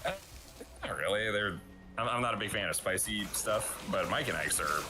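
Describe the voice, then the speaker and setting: A man's voice: on the low side, expressive, normal speed, noisy background. A young adult man talking casually as a co-host on a livestream. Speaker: stream co-host. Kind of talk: casual stream chat. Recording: music behind the voice.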